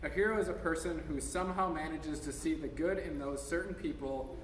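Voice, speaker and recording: A man's voice: on the high side, brisk. An American man in his thirties reading an essay aloud to a gathering in an informal way, now and then stumbling over his words. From United States, Minnesota. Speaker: speaker at a memorial service. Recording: echoey hall from far off, noisy.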